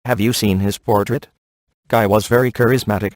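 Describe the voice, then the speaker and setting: A man's voice: fairly low pitch, steady tone, moderate pace, dry recording, natural voice. A man voicing a cocky, wisecracking, flirtatious middle-aged ghost hunter in a video game, with dry, sarcastic delivery. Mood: teasing, sardonic. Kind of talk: video game voice acting, one-liners.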